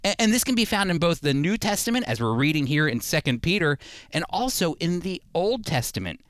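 A man's voice: on the high side, very animated, fairly quick, a bit bright, no echo, clean studio sound. A man hosting a radio program, teaching the Bible in a conversational, earnest and emphatic way, repeating phrases for emphasis.

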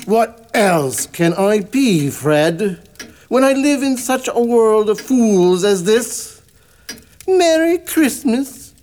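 An actor playing a scornful, grouchy old miser in a radio drama, barking and sneering with contempt. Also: male voice, high-pitched, big pitch swings, slow pace, a little mellow, full character voice. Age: older.

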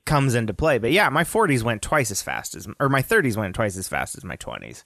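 Forties male podcast co-host, teasing, lively pitch, dry close mic. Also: brisk, gravelly, no echo. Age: 40s. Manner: teasing, wry. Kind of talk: casual chat.

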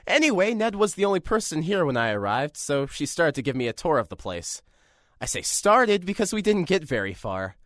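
A man's voice: a little high, brisk, no echo, clean studio sound. An actor playing a nervous, rambling young man filling in as a radio host in an audio drama. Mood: earnest, rambling. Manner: rambling, self-deprecating, lively.

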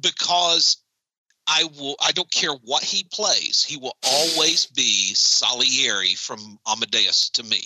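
A man co-hosting a casual podcast chat about a TV episode, animated and joking. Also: mid-range voice, slow, slight background noise, poor audio. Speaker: podcast co-host.

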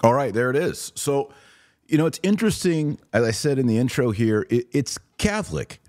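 A man's voice: mid-range voice, expressive, normal speed, a little mellow, dry recording, clean studio sound. A man hosting a podcast, giving casual, musing commentary on a video clip in a conversational way. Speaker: podcast host.

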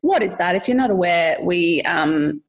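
A woman's voice: on the low side, animated, moderate pace. A woman hosting a podcast in casual, chatty conversation, laughing often. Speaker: podcast host.

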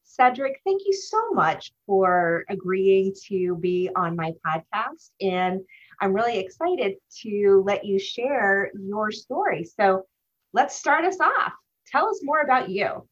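A woman's voice: medium pitch, very animated, takes it slowly, muffled recording. A woman hosting a podcast interview, introducing her guest and asking questions with enthusiasm. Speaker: podcast host, business consultant.

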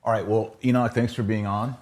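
Low deep guy voice, podcast host just chatting casually. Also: flat delivery, fairly quick, a little mellow.

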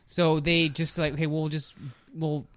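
A man's voice: on the high side, flat delivery, a little slow, dry recording. A man chatting casually as a podcast host reviewing films, opinionated and free with swearing. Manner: profane, opinionated. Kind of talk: casual film review chat.

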